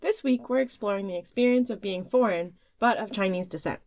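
A woman co-hosting a podcast, reading narration with an expressive, upbeat delivery. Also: medium pitch, brisk, no echo. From United States. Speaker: podcast host.

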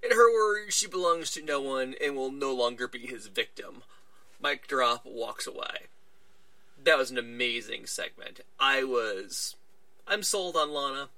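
A man's voice: medium pitch, unhurried, bright, forceful tone, no room sound. A man hosting a casual podcast, chatting through a wrestling show recap and acting out little quoted lines along the way. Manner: chatty, retelling events with little quoted voices. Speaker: podcast host.

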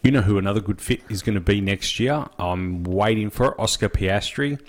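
Man, podcast host, lowish pitch, casual wry chat. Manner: chatty, wry, quick to agree. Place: small podcast studio.

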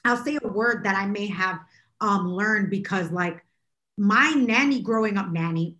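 A woman's voice: medium pitch, unhurried, pushed and bright. A woman co-hosting a casual podcast chat, talking in an emphatic, opinionated way. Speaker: podcast co-host.

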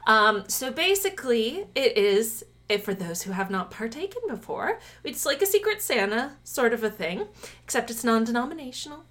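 A chatty woman co-hosting a podcast, talking in a fairly high voice. Her pitch swings freely, and she laughs often as she rambles through announcements and banter. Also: very animated, moderate pace, fairly bright tone. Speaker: podcast co-host. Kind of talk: casual podcast chat.

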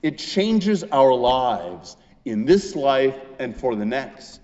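A man delivers a sermon in the role of a pastor. He speaks very slowly at a medium pitch, stressing phrases and leaving deliberate pauses. The sound is slightly dull, with long reverberation from a large hall. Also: big pitch swings, darkish tone. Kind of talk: Easter sermon, reading and expounding scripture.

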